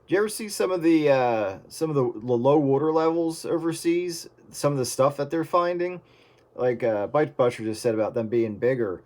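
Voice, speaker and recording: A man's voice: mid-range voice, normal speed, a little mellow. A man chatting casually and musingly with friends over CB radio. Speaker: participant in CB radio chat.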